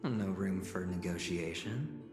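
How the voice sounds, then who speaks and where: An actor playing a smooth, calculating male gambler in a video game, with a suave, insinuating, persuasive delivery. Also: sits low, a little slow. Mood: calm, conceding. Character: smooth, calculating gambler and negotiator, confident man. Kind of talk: scripted video game dialogue acting. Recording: echoey hall reverb under loud music.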